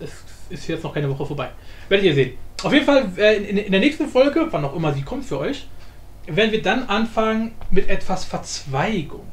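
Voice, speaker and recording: A man giving a casual, informal software tutorial, explaining step by step. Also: sits high. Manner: informal, explanatory, often adds tag questions. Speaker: tutorial host. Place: indoor room, voice-over of screen recording. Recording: roomy echo with faint background noise.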